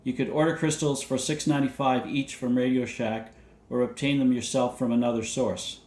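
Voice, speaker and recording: A man presenting a scripted technical overview in a measured, matter-of-fact way, reading out details methodically. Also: medium pitch, fairly even intonation, a little mellow. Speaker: presenter of vintage radio videos. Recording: roomy, echoey indoor sound.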